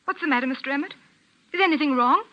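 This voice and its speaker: Actress's anxious young vicar's daughter, high voice, polite and earnest, dull vintage radio sound. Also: female voice, fast talker, lightly acted. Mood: concerned. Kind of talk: 1940s radio mystery acting.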